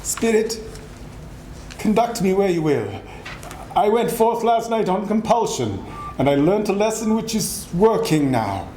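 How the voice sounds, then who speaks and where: An elderly miser, humbled and earnest, acted out by a man in a theatrical stage reading. The delivery is halting and shaken. The room echoes heavily, with faint noise behind. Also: high voice, animated, dark tone. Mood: humbled, hesitant. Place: indoor live reading event. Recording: echoey room, noisy live recording.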